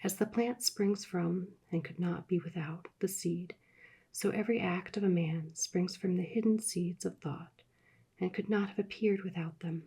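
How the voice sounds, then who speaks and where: A woman reading aloud in a soft, soothing, soft-spoken ASMR style that often drops into whispers. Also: lowish voice, fairly even intonation, creaky, breathy edge. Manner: soft, slow, drifting into whispers. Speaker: ASMR reader.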